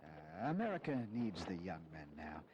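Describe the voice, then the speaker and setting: A man's voice: sits low, big pitch swings, moderate pace, muffled recording, noisy background. An actor playing a weary, honourable general in his fifties, grave and dignified, in a historical audio drama. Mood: weary, wistful. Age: 50s.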